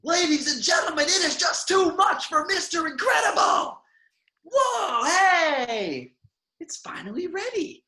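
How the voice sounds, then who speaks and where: A man's voice: very high voice, big pitch swings, a little slow, pushed and bright, full character voice. Man playing a manic, swooping young supervillain. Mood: showboating, shouting. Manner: theatrical, boastful monologuing. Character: gloating, manic young male supervillain with a grudge. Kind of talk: online table read of a film script.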